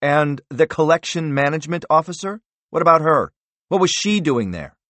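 A man's voice: mid-range voice, very animated, normal speed, no room sound, voice pushed up, natural voice. A man voicing an arrogant, bullying middle-aged senator who barks and interrupts. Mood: angry. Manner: barking, condescending, interrupting.

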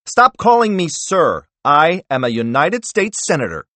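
A man's voice: medium pitch, very animated, moderate pace, no echo, pitched above the performer's own voice, close to an everyday voice. A man voicing an arrogant, bullying middle-aged senator who barks and interrupts. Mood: angry. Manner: barking, condescending, interrupting.

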